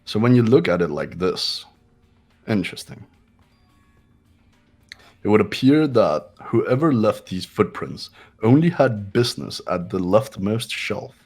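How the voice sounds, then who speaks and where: A man's voice: fairly low pitch, big pitch swings, unhurried, pauses often, a little mellow, soft background music, full character voice. A man playing a condescending young male genius in an amateur game dub, smug, commanding and formal in his delivery. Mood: analytical, cool. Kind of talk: amateur voice acting in a game playthrough.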